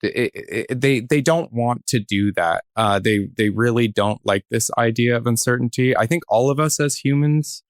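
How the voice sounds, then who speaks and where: A man's voice: mid-range voice, moderate pace, hardly any pauses, no room sound, studio quality. A man speaking as a guest in a casual discussion, reflective and tentative, feeling his way through his sentences. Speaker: podcast guest, theatre artist.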